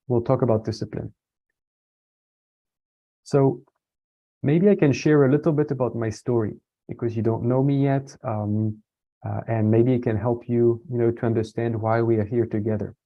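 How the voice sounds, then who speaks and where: A man from France giving a relaxed online talk and stopping often to restart his sentences. His voice is very dark and mellow. It comes through a dull, lo-fi video call. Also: medium pitch. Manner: relaxed, hesitant with many fillers and restarts. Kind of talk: casual online talk answering chat. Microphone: computer mic on a video call.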